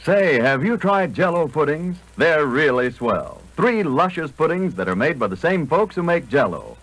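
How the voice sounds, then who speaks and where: A man's voice: fairly high pitch, very animated, normal speed, a bit bright, dry recording, rough recording. A man reading advertising copy as a polished, enthusiastic 1940s radio announcer. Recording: vintage 1940s radio broadcast.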